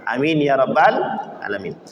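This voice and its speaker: A man's voice: medium pitch, sing-song, roomy sound, long reverb tail, highs cut off, a little hiss or hum. A man giving an impassioned Islamic sermon at a dawn gathering. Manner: impassioned preaching, then measured chanted Arabic prayers. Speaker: Islamic preacher.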